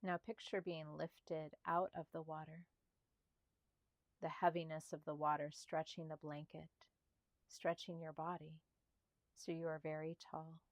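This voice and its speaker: Soft, calm woman guiding meditation, low-pitched. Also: no echo. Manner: slow, calm, soothing delivery with spaced short phrases. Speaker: meditation guide. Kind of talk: guided meditation narration.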